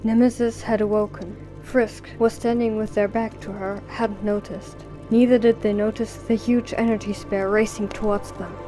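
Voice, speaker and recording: A woman's voice: medium pitch, level tone, moderate pace, few pauses, dark tone, faint music behind, low-quality recording. A woman reading scripted story narration for an audio drama.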